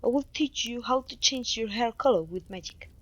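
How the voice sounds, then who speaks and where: Woman acting as clumsy young witch, fairly high voice, gentle, apologetic, polite quaint wording, dry close recording, ASMR fantasy roleplay. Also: normal speed, no room sound, lightly acted, low-quality recording. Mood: pleased, encouraging. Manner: gentle, polite, slightly old-fashioned phrasing.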